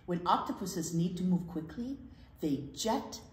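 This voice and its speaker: A woman's voice: fairly low pitch, expressive, measured pace. A woman in her sixties presenting a children's storytime, talking and reading aloud expressively, with emphatic repeats of key words. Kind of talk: casual children's storytime talk and read-aloud. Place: library children's room. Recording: slightly echoey room, faint noise.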